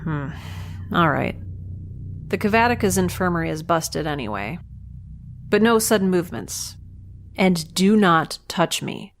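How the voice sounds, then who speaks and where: A woman's voice: fairly low pitch, expressive, measured pace, long pauses, slight background noise. A woman with a wry, sarcastic way of talking, hosting and voicing her own audio drama. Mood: wary. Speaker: podcast creator and lead voice actor. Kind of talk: audio drama acting and sponsor read.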